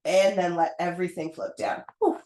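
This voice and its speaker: Hoarse woman, pilates instructor, patient step-by-step cueing, echoey room. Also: fairly low pitch, normal speed. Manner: calm, encouraging step-by-step cueing. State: hoarse, getting over a cold. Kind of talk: guided pilates class cues. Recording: roomy echo.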